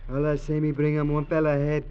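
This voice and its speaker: An actor playing a humble, matter-of-fact native bearer who speaks in broken pidgin, in 1940s radio drama style. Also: male voice, a little high, a little fast, smooth, close to an everyday voice. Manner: broken pidgin phrasing, monotone. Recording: muffled old radio recording.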